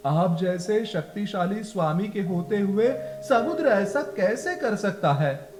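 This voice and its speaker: A man's voice: sits high, animated, slow, reverberant, over music, pitched above the performer's own voice. A man voicing a distressed little bird pleading with its master in a children's fable. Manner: wailing, pleading.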